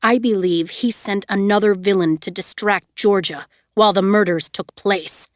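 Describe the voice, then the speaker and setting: A woman voicing a man who speaks steadily and quietly, full of regret. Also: medium pitch, normal speed, dry recording, studio quality, close to an everyday voice. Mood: steady. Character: older man, steady and remorseful.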